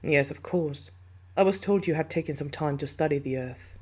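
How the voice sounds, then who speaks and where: A commanding alien negotiator from a hive, acted by a woman, in a sci-fi audio drama. She is composed and calculating, with a low voice for a woman and formal, deliberate phrasing. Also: darkish tone, slight background noise, close to an everyday voice. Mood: composed, authoritative. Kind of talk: scripted sci-fi audio roleplay.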